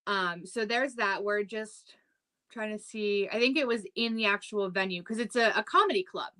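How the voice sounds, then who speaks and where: A woman in her thirties chatting loosely on a podcast, her voice bright and forward. She rambles through her stories, filling gaps with likes and ums. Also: mid-range voice, normal speed. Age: 30s. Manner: chatty, storytelling with lots of "like" and "um". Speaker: podcast co-host. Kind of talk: casual chat.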